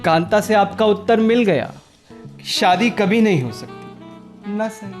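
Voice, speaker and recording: Breezy, slow-talking young suitor. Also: male voice, sits high, animated, soft background music. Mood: wheedling. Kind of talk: Hindi radio play acting.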